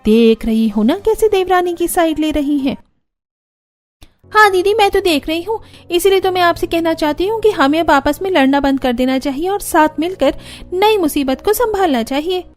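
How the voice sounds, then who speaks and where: Lady doing a jealous cartoon sister-in-law, high voice, taunting and scheming, music behind. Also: lively intonation, moderate pace. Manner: taunting, indignant. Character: jealous, scheming young elder daughters-in-law, snide and complaining.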